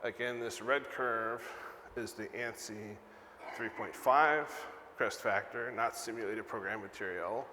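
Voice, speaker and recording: Older male conference presenter, lowish pitch, emphatic explainer, echoey stage sound. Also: a little slow, sharp tone. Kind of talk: technical lecture with slides. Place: large conference hall stage, indoors. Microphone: lapel mic. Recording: echoey hall PA, some background noise.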